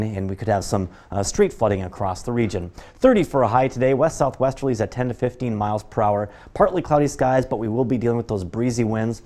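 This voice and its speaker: An American man works as a television weather forecaster. His voice sits at a medium pitch. He explains conditions informally, in a conversational live-broadcast delivery. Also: mellow.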